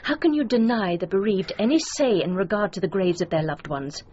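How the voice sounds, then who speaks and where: A woman's voice: mid-range voice, animated, brisk, warm tone, close to an everyday voice. An actress playing a proud, grieving aristocratic mother with an upper-class English accent, speaking formally and imperiously in a radio drama. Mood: indignant. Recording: dull, noisy radio drama recording.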